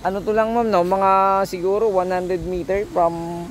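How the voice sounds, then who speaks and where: A man's voice: steady tone, normal speed, a little hiss or hum. A man with a Filipino accent, a real estate agent giving a casual property tour outdoors, chatting and switching between English and Tagalog. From Philippines. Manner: chatty code-switching, rising pitch when asking. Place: outdoors at a subdivision lot.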